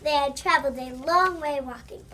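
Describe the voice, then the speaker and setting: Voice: sits high, normal speed, bright, forceful tone. A child reading scripted storybook narration aloud. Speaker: student narrator. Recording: faint room noise.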